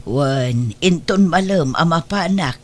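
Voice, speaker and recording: A woman's voice: sits low, animated, slow pace, full character voice. A woman playing a worried, protective older mother in a radio drama, with an admonishing, motherly delivery. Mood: concerned.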